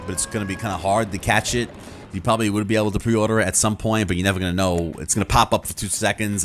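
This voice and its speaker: Low-voiced man rambling casually over music. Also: a bit bright. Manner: conversational, acts out imagined reactions. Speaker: YouTube host. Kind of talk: casual rambling commentary to viewers.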